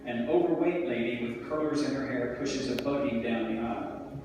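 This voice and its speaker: A man's voice: mid-range voice, normal speed, recorded from a distance, background noise. A man reading stage directions as scripted narration at a table reading. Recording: very echoey hall from afar, music.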